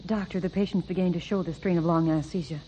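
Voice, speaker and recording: A woman's voice: fairly low pitch, steady tone, very fast, dark tone, close to an everyday voice. A woman playing an alert, anxious operating-room nurse in old-time radio drama style. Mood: concerned. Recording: noisy old radio recording.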